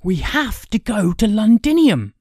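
A woman voicing an eager, excitable young man in a storybook drama, pleading and emphatic. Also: mid-range voice, unhurried, husky, dry recording, studio quality. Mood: excited.

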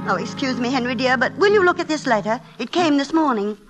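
An actress playing a fond, practical wife in a classic radio drama, affectionate and placating in manner. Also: female voice, sits high, animated, fast talker, over loud music. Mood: worried. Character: fond, practical, soothing middle-aged wife.

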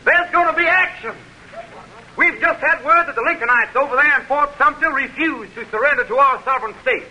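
An actor playing an excited secessionist party guest, urgently calling out to a room in 1940s radio style. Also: male voice, extremely high pitch, a little fast, full character voice, poor audio. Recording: old radio drama, party crowd behind.

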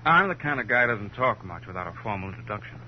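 A blunt, unimpressed detective in 1950s radio crime drama. He needles in a deadpan, fairly low voice. The recording is muffled old radio with a faint hum. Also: quick pace. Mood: sour, needling. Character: blunt, wisecracking male police detective, sour and unimpressed.

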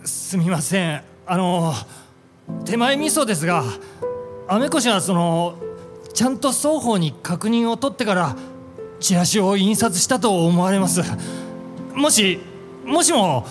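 Average salaryman boss, tearful and apologetic, high for a man, wavering widely, music behind. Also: expressive, measured pace, long pauses. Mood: tearful apology. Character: humble, emotional male team leader, average salaryman. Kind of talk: radio drama acting before a live audience.

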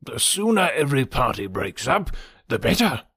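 A man voicing a gentle, fretful old gentleman, an anxious father in a classic novel, with a fussy, mournful, deliberate manner. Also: mid-range voice, big pitch swings, husky, no echo, studio quality, heavily acted. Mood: mild disapproval. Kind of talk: audiobook character voice from a classic novel.

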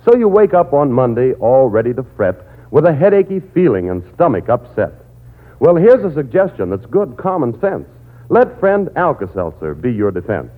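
A man's voice: medium pitch, very animated, normal speed. An American man as a 1940s radio announcer, reading rhymed advertising verse in a sing-song way. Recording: tinny old radio broadcast.

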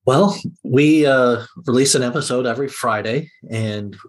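A man's voice: medium pitch, normal speed. A man chatting casually as a guest podcaster in an interview.

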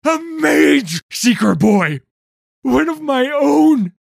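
Stern, menacing mage hunter, rough and sneering, measured pace, dry close sound, audiobook fantasy. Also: male voice, lowish voice, lively intonation, dry recording, full character voice. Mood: furious interrogation. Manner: sneering, accusatory, forceful. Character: stern, bitter male mage hunter, grieving and menacing interrogator.

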